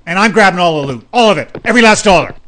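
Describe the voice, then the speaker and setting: A man's voice: high voice, lively intonation, racing, pushed and bright. An actor playing a cocky, greedy, bossy crime boss in a comic radio play, snapping insults at his underling. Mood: greedy, bullying.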